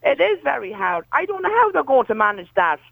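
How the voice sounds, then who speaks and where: A woman with a Meath accent calling into a radio show, talking earnestly and haltingly. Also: high voice, sing-song, gravelly, fairly bright tone, no echo. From Kells, County Meath, Ireland. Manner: earnest, halting, repeats herself. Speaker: caller. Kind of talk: call-in chat.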